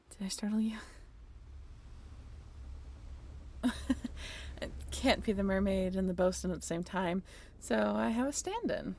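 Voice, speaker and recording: A woman's voice: mid-range voice, vocal fry, a little mellow, slight background noise. A woman playing a friendly, flustered young former college roommate in a romantic audio roleplay, talking in a bashful, hesitant, giggly and apologetic way. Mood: teasing, playful. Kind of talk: romantic audio roleplay, naturalistic one-sided conversation.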